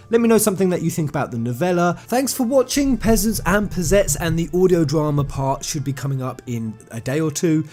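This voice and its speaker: A man's voice: fairly high pitch, a little mellow. A British man hosting casual fan commentary, talking to viewers in an emphatic, chatty, enthusiastic way. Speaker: video host.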